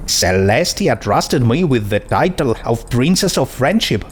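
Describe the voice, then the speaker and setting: A man puts on a young female pony princess, pitched high and swooping eagerly. She is earnest, pedantic and sure she is right, like a gushing star pupil in a storybook, with some noise behind her. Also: very animated, a little slow. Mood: annoyed, defensive. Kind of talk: storybook character voice in fan fiction reading.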